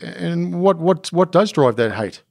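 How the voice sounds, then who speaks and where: A man hosting a podcast, reading a scripted introduction and asking interview questions in a measured way. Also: a little high, animated, vocal fry, a little mellow, no echo, clean studio sound. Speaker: podcast host.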